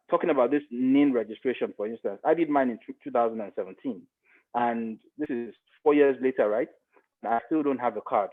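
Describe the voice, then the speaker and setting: A man with a Nigerian accent in a podcast discussion, explaining earnestly and repeating points for emphasis. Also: mid-range voice. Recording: muffled phone-like audio.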